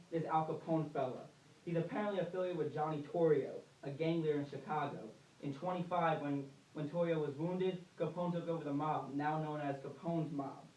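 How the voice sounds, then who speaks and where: A man's voice: fairly high pitch, a little mellow, lightly acted. A young man playing a chatty, colloquial reporter in a scripted amateur 1920s radio newscast. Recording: echoey room, distant mic.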